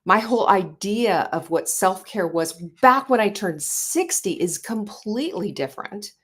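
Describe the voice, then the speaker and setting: A woman's voice: mid-range voice, lively intonation, measured pace, fairly bright tone, slightly rough. A woman in her sixties hosting a talk show, speaking earnestly and conversationally with frequent fillers and restarts. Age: 60s. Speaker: show host. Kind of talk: motivational talk.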